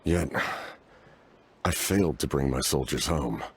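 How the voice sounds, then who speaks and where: Very deep-voiced, solemn knight-commander. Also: male voice, breathy edge, exaggerated voice. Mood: solemn, reflective. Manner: formal, measured, grave. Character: solemn masked male knight-commander, war-weary and stoic. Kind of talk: fantasy game voice acting.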